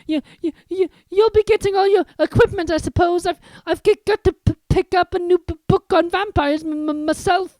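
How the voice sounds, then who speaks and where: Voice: very high voice, steady tone, a little mellow, no echo, clean studio sound, pitched above the performer's own voice. A reader voicing a pale, nervous young male professor who stammers over nearly every word. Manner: stammering, halting, jittery.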